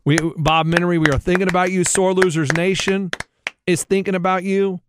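A man co-hosting a sports podcast, excitable and repetitive, telling casual comic stories and acting out the dialogue. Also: high voice, fairly quick, noisy background. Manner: excitable, repeats himself, acts out dialogue. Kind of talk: casual comic banter and storytelling.

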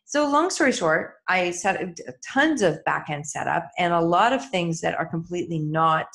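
Candid, earnest middle-aged woman, lowish voice. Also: gravelly. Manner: candid, earnest, reflective, with soft laughs. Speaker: coach and author. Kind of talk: motivational solo talk to listeners.

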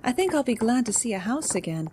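Casting: mischievous young storybook lady performed by a male reader, light and high, teasing, slight background noise. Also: big pitch swings, quick pace, darkish tone. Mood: chatty. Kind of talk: dramatised storybook reading.

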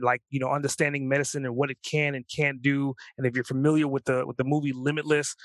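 A man hosting a podcast, introducing his guest in a loose, animated way with many "like" fillers. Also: mid-range voice, no echo. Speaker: podcast host.

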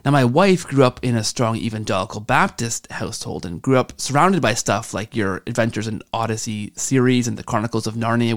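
A man hosting an interview podcast, speaking in an earnest, enthusiastic way. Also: medium pitch. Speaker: podcast host. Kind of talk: podcast introduction and interview talk.

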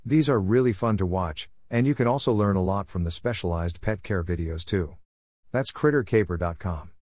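A man's voice: sits low, normal speed, darkish tone, no echo, clean studio sound. A man reading a scripted podcast introduction and sponsor message as host.

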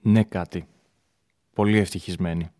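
Actor as an ardent young dreamer, dry sound. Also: male voice, deep voice, steady tone, warm tone, no room sound, lightly acted. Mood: yearning, impassioned. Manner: impassioned, theatrical, declamatory. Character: ardent, ambitious young stage actor and reassuring lover. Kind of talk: radio drama acting.

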